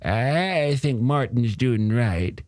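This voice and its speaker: A man voicing a polite, warm and slightly shy married woman in an audiobook, gently conversational. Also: mid-range voice, animated, slow, dry recording, pitched above the performer's own voice. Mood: polite, reassuring. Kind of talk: audiobook character dialogue.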